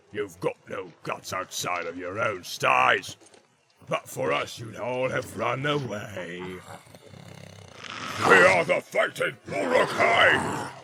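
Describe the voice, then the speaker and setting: Brief: man doing a growling orc warlord, gravelly and slow, bellowing commands, heard from a distance. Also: heavily acted. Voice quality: deep growl. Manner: barking orders, shouting. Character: brutal male orc captain, commanding and boastful.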